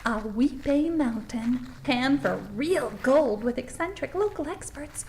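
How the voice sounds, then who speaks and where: A woman's voice: sits high, animated, slow, darkish tone, a little hiss or hum, heavily acted. A woman playing an upbeat, gushing presenter who narrates and interviews for a promotional small-town tourism documentary. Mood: bright, promotional.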